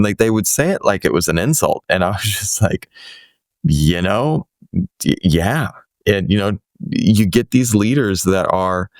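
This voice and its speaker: Deep-voiced man hosting a rambling chat. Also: dry recording, studio quality. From Boston area, USA. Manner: rambling, many restarts and "you know" fillers. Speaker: podcast host. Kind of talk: casual conversational interview.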